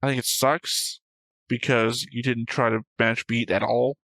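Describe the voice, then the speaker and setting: A man with a medium-pitched voice talks casually as co-host of a reaction show. He rambles and jokes his way through tangents. The sound is close and dry. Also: little pitch movement, measured pace, vocal fry, fairly bright tone, dry recording. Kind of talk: casual discussion and commentary.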